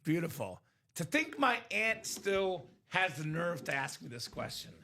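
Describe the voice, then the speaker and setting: A young man from Bulgaria hosting a live sports card break stream, upbeat and joking, shouting out buyers by name. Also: on the high side, big pitch swings, measured pace, vocal fry. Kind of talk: casual live-stream sales chat.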